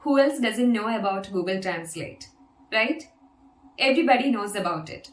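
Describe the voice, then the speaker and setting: A woman's voice: mid-range voice, lively intonation, moderate pace, echoey room. A woman whose first language is Malayalam, teaching an English lesson and patiently demonstrating an app step by step. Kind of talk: video lesson.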